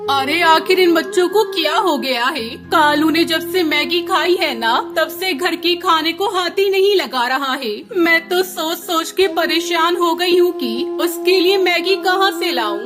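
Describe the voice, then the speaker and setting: A woman's voice: very high-pitched, unhurried, hardly any pauses, over music. A woman voicing a worried, exasperated mother bird in a children's cartoon, speaking fretfully.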